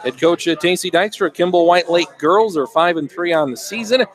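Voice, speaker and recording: A man's voice: sits high, normal speed. A man hosting a sports radio show, asking conversational, leading questions.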